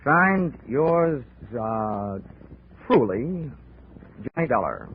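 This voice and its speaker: An actor playing a wry, hardboiled investigator with deadpan wisecracks in a 1940s radio drama. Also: male voice, mid-range voice, extremely slow, narrow, tinny audio, noisy background, heavily acted. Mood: dry, wry. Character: wry, hardboiled male insurance investigator narrating his case. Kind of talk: 1940s radio acting, detective narration. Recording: band-limited old radio recording.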